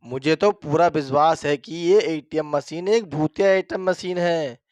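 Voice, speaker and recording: A man's voice: fairly high pitch, measured pace, a little mellow, dry recording, pitched below the performer's own voice, lightly acted. A man playing a worried, respectful village man who speaks earnestly in a dramatized story. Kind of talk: dramatized Hindi moral horror story.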